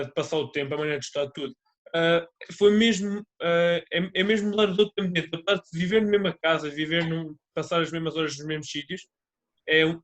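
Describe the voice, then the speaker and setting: Young male student, Portuguese accent, higher-than-average voice, halting delivery in an informal discussion. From Coimbra, Portugal. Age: young adult. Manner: hesitant, repeating words. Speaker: university student. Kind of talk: informal comment in a group discussion.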